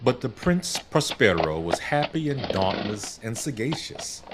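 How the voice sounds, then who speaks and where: A man's voice: mid-range voice, big pitch swings, a little slow, very noisy. A man narrating a scripted gothic horror tale in a theatrical, literary storytelling manner. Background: background music.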